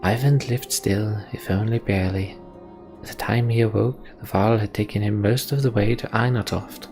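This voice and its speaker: Male reader as calm fantasy sorceress, low pitch, measured storytelling, grave, clear music behind. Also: lightly acted. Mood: solemn, explanatory. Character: calm, grave female sorcerer recounting ancient history. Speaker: let's play host reading game dialogue aloud. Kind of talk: voiced reading of fantasy RPG dialogue. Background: game music.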